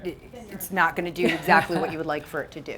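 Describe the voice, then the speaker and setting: Upbeat woman with a lowish voice. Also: flat delivery, quick pace, a crowd in the background. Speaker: makeup artist panelist. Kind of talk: casual panel talk.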